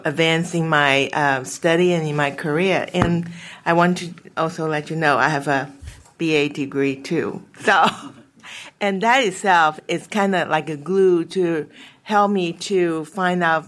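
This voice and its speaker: Low-voiced woman, native Chinese speaker; halting, filler-heavy delivery. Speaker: board member. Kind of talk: formal meeting remarks.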